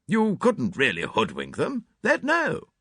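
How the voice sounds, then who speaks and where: A man's voice: a little high, huge pitch swings, a little slow, pushed and bright, slightly rough, no echo, studio quality, voice pushed up, full character voice. A man reading aloud and voicing a brusque, blunt middle-aged woman who speaks in clipped, curt phrases. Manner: clipped and curt, drops words.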